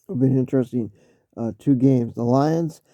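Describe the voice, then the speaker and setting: A man giving casual spoken commentary on football, halting with many fillers and restarts, clearing his throat often as he loses his voice. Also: mid-range voice, dark tone, no echo, dull audio. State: losing his voice. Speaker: vlogger talking football.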